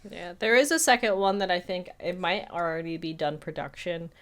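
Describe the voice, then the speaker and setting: Lower-pitched woman chatting casually. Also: moderate pace. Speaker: podcast co-host. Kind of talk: casual film review chat.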